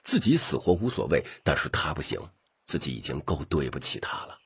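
Guy reading an audiobook, super low rough voice, lots of up and down. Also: very animated, brisk. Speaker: audiobook narrator. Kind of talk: scripted story narration.

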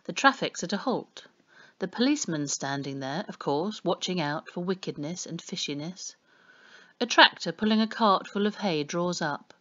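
Female storybook reader, lower-pitched, expressive narration for children, muffled lo-fi recording. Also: normal speed. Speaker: audiobook reader. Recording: dull, lo-fi sound.

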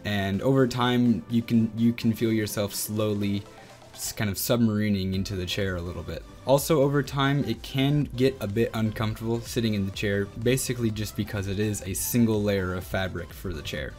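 A man's voice: fairly low pitch, moderate pace, faint music behind. A young man in his twenties giving a scripted review of flight sim gear, with a voice a bit weak from illness, recorded on a close desk mic in a small gaming room. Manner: earnest, steady read-through. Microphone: close desk mic, wearing headphones.

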